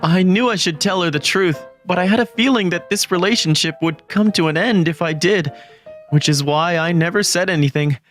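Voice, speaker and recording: A man voicing an earnest, lovestruck teenage student who narrates a scripted manga story in a measured way. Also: high-pitched, fairly bright tone. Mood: earnest, reflective. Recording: background music under the voice.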